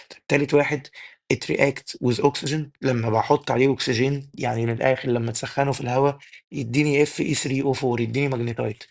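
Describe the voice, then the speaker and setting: Brief: young egyptian male tutor, rapid-fire delivery, gravelly and half-gone voice, quizzes himself aloud. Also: medium pitch. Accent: Egyptian Arabic. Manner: rapid drilling, asks and answers his own questions. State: losing his voice. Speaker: chemistry teacher. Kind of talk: exam-prep tutoring lesson.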